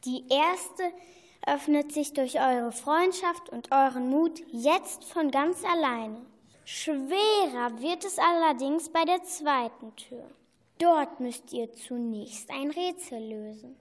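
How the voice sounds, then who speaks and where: Woman playing a kindly high-voiced sorceress in reverberant hall. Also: expressive, slow pace. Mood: encouraging. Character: kindly female sorceress, encouraging riddle-giver to two prisoners. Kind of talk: children's radio play acting. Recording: long reverb, music behind.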